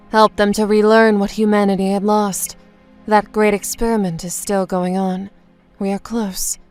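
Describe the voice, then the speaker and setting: A low-voiced female mystic played by an actress in an audio comic book drama, serene and grave. She explains things in a measured, solemn way, with music underneath. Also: a little mellow, natural voice. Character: serene mystical woman guru from another realm, gravely explaining.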